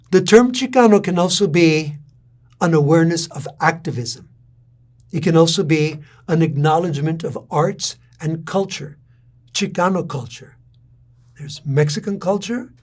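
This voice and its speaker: A middle-aged man from Texas, giving a lecture in a measured, deliberate storytelling way. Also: a little high, darkish tone. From San Antonio, Texas. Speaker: university lecturer.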